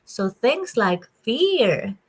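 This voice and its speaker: A woman from Colombia with a Colombian Spanish accent, speaking as a psychologist guest in a conversational interview, animated and quick to laugh. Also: fairly high pitch, breathy edge. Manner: animated, laughs often, bright delivery.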